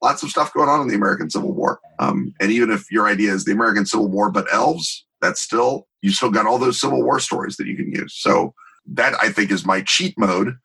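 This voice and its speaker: A man in middle age with a fairly low, forceful voice that has a husky grain. He explains things conversationally as an interview guest, wry and emphatic, wandering into examples. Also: a little fast, low-quality recording. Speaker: interview guest, tabletop game designer.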